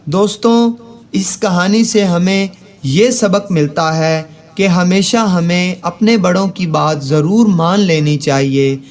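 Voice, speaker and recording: A man narrating a scripted children's moral story. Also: high voice.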